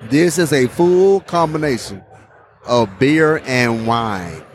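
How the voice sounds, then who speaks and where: A man's voice: mid-range voice, lively intonation, slow pace, slight background noise. A man hosting a casual beer tasting vlog, enthusiastic and repeating his phrases. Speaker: host.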